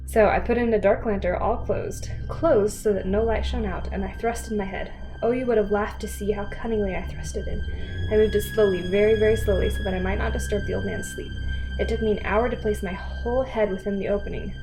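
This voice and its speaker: A woman reads a story aloud in a semi-dramatic style with wry, casual asides. Her pitch swings widely and is very animated, sitting in a middle register. Music plays prominently behind her. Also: fairly quick, low-quality recording. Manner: wry, informal, breaks off to comment. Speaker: host reading a story aloud.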